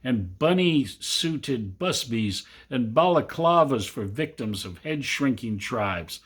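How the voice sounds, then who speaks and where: A man reading a literary Christmas story aloud to family, with expressive, deliberate emphasis. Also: medium pitch, slightly rough. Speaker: amateur storybook reader.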